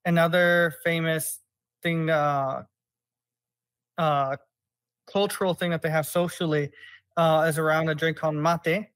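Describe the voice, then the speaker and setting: A young American man telling stories in a relaxed way with lots of "uh"s as a podcast guest. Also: on the high side, measured pace, long pauses, no echo. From United States. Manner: relaxed storytelling with many fillers. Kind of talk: casual conversation.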